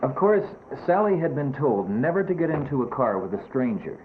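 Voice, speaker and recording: A man's voice: medium pitch, big pitch swings, normal speed, a little hiss or hum. A man narrating a scripted educational film, admonishing children directly over background music on a muffled 1970s soundtrack.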